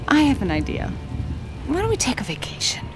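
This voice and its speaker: A woman's voice: high voice, huge pitch swings, fairly quick, music in the background. An actress playing a meek, placating wife and protective mother in an audio drama, with an anxious, submissive manner. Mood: anxious, pleading.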